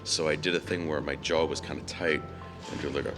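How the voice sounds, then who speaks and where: A middle-aged male voice actor with a slightly hoarse, froggy voice, rambling in a relaxed, self-deprecating way at a convention Q&A. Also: very deep voice, a little fast, music in the background. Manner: rambling and self-deprecating, with frequent restarts and fillers. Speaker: voice actor guest at a fan convention.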